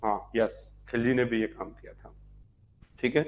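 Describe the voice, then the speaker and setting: A man's voice: mid-range voice, steady tone. Male history teacher dictating a lesson. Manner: repeats phrases slowly for note-taking; asks and answers his own questions. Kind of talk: live exam-prep lecture with dictation.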